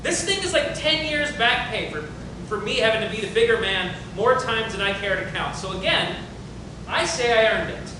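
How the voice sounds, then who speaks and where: A man's voice: animated, moderate pace. A man playing a cocky, self-justifying company dealmaker with a casual, sardonic, profane way of talking, in a play's table reading. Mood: defensive, grudging. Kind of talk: dramatic table reading of a stage play. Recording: very echoey room, noisy rumble.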